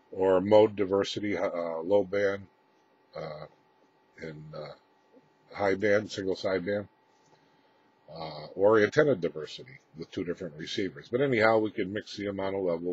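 A man with a low, husky voice talks through technical gear in a halting, matter-of-fact manner. He sounds like he is presenting off the cuff in a roomy indoor space, with slightly muffled sound. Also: darkish tone. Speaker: presenter demonstrating his radio equipment. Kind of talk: unscripted technical walkthrough. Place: indoor room with equipment rack.

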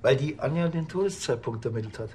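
An actor playing a wry, needling village policeman with a Bavarian accent and deadpan delivery. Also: medium pitch, quick pace, a little hiss or hum, close to an everyday voice. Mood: dry, needling. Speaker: actor in TV crime comedy. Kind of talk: dry comic TV drama dialogue.

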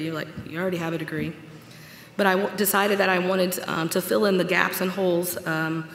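A woman's voice: mid-range voice, slight background noise. Woman giving a commencement speech in an echoey hall. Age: 40s. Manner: earnest, personal, read from notes with light humor. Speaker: graduate speaker. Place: large auditorium stage at a podium. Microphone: podium microphone. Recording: echoey hall with long reverb.

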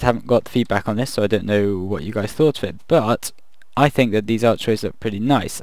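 Croaky young man musing over a game. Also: on the low side, darkish tone, no echo. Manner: rambling, thinking aloud, self-deprecating. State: croaky voice late at night. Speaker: gaming video creator. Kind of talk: casual commentary over a building game.

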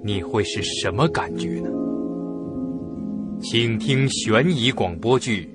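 A man's voice: medium pitch, takes it slowly, over loud music. A man narrating a suspense radio drama in scripted storytelling style.

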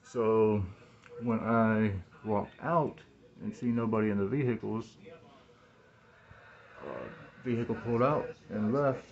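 A man in his thirties in a rambling, halting monologue, repeating words and phrases, recorded on a phone indoors through a cloth mask. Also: fairly low pitch, a little mellow, lo-fi sound. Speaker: vlogger talking to camera. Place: small room indoors. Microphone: phone at arm's length, speaking through cloth mask.

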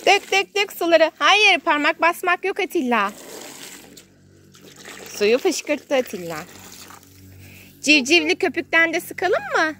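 Woman, high voice, unhurried sing-song delivery for toddler play, outdoor recording with faint noise. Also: expressive, pushed and bright. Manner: sing-song, exclaiming, simple short phrases. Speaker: children's video host playing with a child. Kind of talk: playful child-directed play narration. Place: outdoors on grass in a garden.

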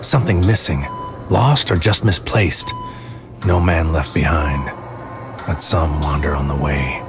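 A man playing a bleak, alienated psychic warrior, speaking a deliberate, poetic inner monologue in an audio drama. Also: rumbling low. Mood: bleak, grieving. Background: music.

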